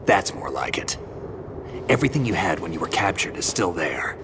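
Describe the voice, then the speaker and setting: A deep, gravelly male veteran soldier from a video game. He is stoic and dry, speaking in short, weighty phrases like a reluctant mentor. A noticeable ambient rumble sits behind the voice. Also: sing-song, moderate pace. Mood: terse, matter-of-fact. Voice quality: gravelly, gruff. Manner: terse, dry, unflappable. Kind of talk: video game cutscene voice acting.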